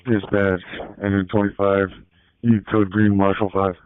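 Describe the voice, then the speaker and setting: A man's voice: deep voice, flat delivery, normal speed, clear, resonant tone, no echo. A man making terse calls on emergency services radio, heard through a scanner. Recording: tinny phone-like scanner radio.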